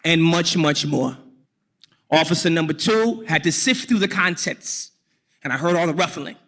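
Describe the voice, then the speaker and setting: A man from New York telling a personal story on stage, deliberately and wryly, with dramatic pauses. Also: fairly high pitch, fairly bright tone. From New York, United States.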